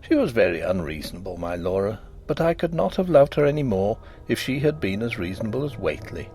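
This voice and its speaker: A man narrating a gothic ghost story in a measured, expressive reading voice. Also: on the low side, slight background noise.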